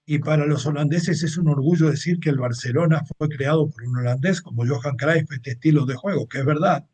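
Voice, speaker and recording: A man's voice: on the high side, darkish tone, husky. A man with a Mexican accent hosting a football show, chatting through upcoming matches and giving blunt opinions in a casual, talky way. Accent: Mexican Spanish. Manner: chatty, opinionated, translating clips. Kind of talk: casual sports commentary monologue.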